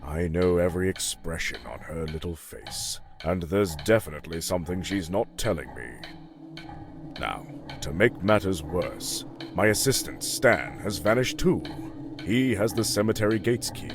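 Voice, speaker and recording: An actor playing an anxious older grandfather in a ghost story game, speaking gravely and earnestly with formal, theatrical phrasing. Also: low voice, lively intonation, moderate pace. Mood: troubled, foreboding. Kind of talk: dramatic game narration and dialogue. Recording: music underneath, sometimes echoey.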